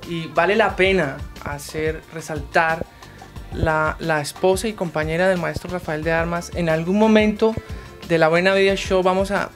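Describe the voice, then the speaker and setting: A young man hosting an interview in a living room, asking slow questions full of restarts. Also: fairly high pitch, fairly even intonation, faint music behind. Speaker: host.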